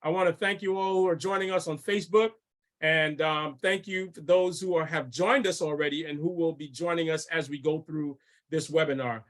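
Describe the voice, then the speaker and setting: Webinar host, male, high voice, formal measured read of guest intros. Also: steady tone, fairly bright tone. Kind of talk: reading a speaker introduction.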